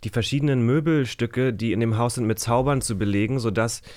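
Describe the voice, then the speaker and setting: A man's voice: mid-range voice, a little fast, a little mellow, no echo. A man chatting casually as a guest on a show, in loose, informal slang with English words mixed in. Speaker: guest on an audio drama magazine show. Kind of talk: casual conversational talk.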